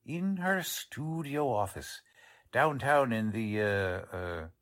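Guy doing a gruff old-time radio cop, blunt and folksy, broken grammar, voice swinging up and down a lot. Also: mid-range voice, lively intonation, takes it slowly, a bit bright. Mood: matter-of-fact. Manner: folksy, ungrammatical street talk. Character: blunt, plodding middle-aged male police sergeant. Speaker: police sergeant. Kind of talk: 1940s radio drama acting.

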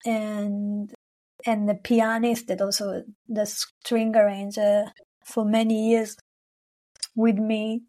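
A woman's voice: mid-range voice, little pitch movement, takes it slowly. Young woman singer, not an english speaker, rambly and laughy, lots of likes. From Israel-Palestine. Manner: warm, searching for words, frequent "like" and "you know", laughs often. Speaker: singer, guest. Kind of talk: casual conversational interview.

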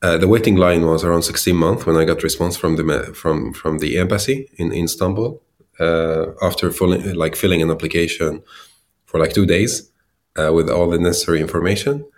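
A man from Syria, a startup founder in his thirties, answering interview questions with many pauses and restarts. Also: low voice. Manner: hesitant, many fillers and restarts.

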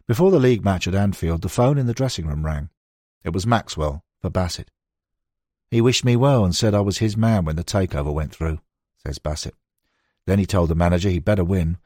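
Low-voiced man narrating, slightly husky. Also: darkish tone, no echo, clean studio sound. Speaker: narrator reading a book. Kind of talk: scripted narration of a football history.

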